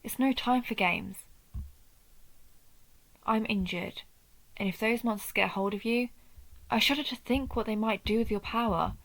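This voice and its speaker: A wounded, proud vampire huntress acted by a woman with a creaky, measured voice, the sort heard in a late-night audio drama guarding a friend outside a ruined temple. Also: fairly high pitch, fairly bright tone, rough recording. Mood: grave, urgent. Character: injured female vampire hunter of vampires, protective, proud, wry. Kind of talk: scripted audio roleplay addressed to the listener.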